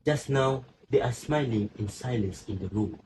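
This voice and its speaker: A grave, watchful man in a stage drama, played by a male actor. His voice is mid-pitched and his lines are formal and sombre. A faint noise runs behind the recording. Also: moderate pace, a little mellow, close to an everyday voice, low-quality recording. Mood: quiet, watchful. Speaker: student actor. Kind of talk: literary stage play acting.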